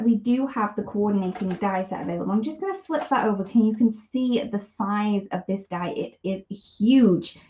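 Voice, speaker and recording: A woman's voice: medium pitch, a little mellow. Sniffly woman hosting a craft live stream. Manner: chatty, greets viewers by name. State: sniffly with a cold. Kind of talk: casual live product demo. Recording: slightly dull live stream audio.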